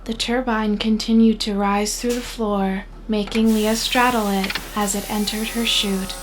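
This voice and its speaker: A woman narrating a scripted audio drama in a measured, descriptive reading. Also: mid-range voice, flat delivery, noisy background.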